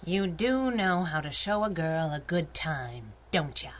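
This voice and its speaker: A woman's voice: low-pitched, sing-song, a little slow, background noise. A woman playing a spunky, restless young female adventurer and sidekick, brash, slangy and teasing, in old-time radio adventure style. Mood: eager.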